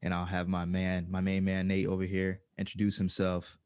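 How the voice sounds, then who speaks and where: Low-voiced, slangy male podcast host. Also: monotone, normal speed, a little mellow, clear, resonant tone, dry recording, studio quality. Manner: slangy, informal. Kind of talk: casual chat interview.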